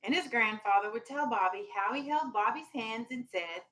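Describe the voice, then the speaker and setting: Bright-voiced woman teacher, fairly high. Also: expressive, moderate pace, poor audio. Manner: firm, instructive. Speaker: elementary school teacher. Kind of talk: reading a children's storybook aloud to a class, with questions. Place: classroom.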